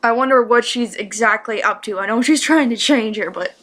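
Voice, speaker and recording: A woman's voice: fairly high pitch, a little fast, fairly bright tone. A woman giving casual, unscripted reaction commentary on a story she is listening to.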